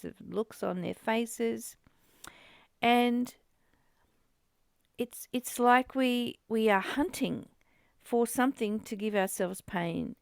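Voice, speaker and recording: A woman with a creaky, gravelly edge to an otherwise clear voice leads a spiritual book study. She reads and explains deliberately at a slow pace, recorded close and dry. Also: medium pitch, dry recording. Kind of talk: reading aloud and informal teaching commentary.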